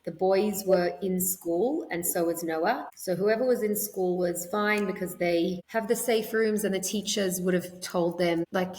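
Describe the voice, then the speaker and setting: A woman's voice: fairly low pitch, measured pace, hardly any pauses, darkish tone. A woman, a podcast guest, talking in a chatty, rambling way with lots of fillers and restarts. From Australia. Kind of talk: conversational interview. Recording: echoey room with long reverb.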